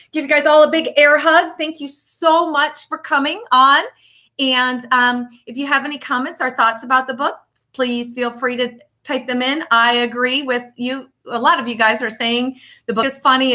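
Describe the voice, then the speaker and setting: A woman teacher reading a children's picture book aloud and chatting to pupils, with expressive, emphatic delivery and deliberate pauses. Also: high voice.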